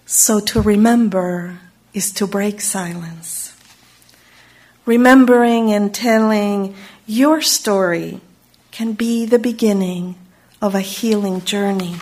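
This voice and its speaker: A woman from Chile, giving a slow, reflective Unitarian Universalist sermon and lingering on single words. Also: medium pitch, darkish tone.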